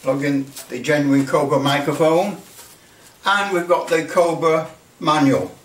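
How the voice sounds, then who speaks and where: A man's voice: on the high side, fairly even intonation, a little slow. Man in a roomy, distant recording. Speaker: hobbyist presenter. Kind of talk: casual hands-on workbench commentary. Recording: roomy, distant mic with light noise.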